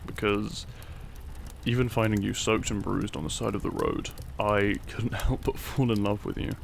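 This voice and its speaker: Male actor as devoted doctor. Tender, soft-spoken, creaky voice, faint noise behind. Intimate romance audio drama. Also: on the low side, steady tone, normal speed. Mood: tender, loving. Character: tender, devoted Victorian doctor, modest and smitten.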